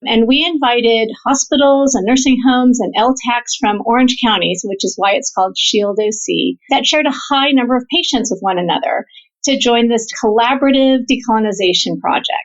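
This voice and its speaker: A woman gives explanatory interview answers as a medical researcher. Her voice is slightly high and she lays points out in an orderly way. The recording is somewhat dull and lo-fi. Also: moderate pace. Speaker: interview guest, physician researcher and professor. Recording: dull, band-limited audio.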